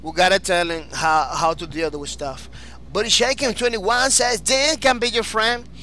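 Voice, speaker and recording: High-voiced Dominican man streaming a game. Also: unhurried, a little hiss or hum, rough recording. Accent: Dominican Spanish. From Dominican Republic. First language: Spanish. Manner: energetic, repetitive, restarts phrases often. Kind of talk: live-stream chat, reading viewer comments.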